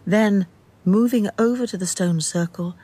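Radio drama narrator, scripted storytelling, medium pitch, faint background noise. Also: a little slow, dark tone.

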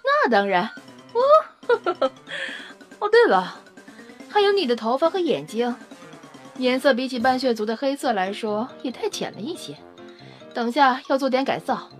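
A woman playing a confident, teasing scientist in an audio drama, brisk and matter-of-fact with a playful, self-satisfied manner. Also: sits high, sing-song, soft background music. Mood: casual, appraising. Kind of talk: Chinese audio drama acting.

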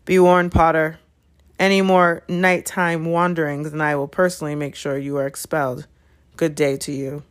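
A sinister storybook schoolmaster, played by a woman. He speaks smoothly and deliberately in a low register, sneering and menacing. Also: flat delivery, lightly acted.

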